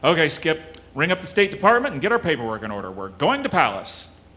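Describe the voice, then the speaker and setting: An actor playing a brisk, wry space marshal hero in a retro sci-fi radio serial. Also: male voice, a little high, huge pitch swings, pushed and bright, faint music behind, heavily acted. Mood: weary resolve.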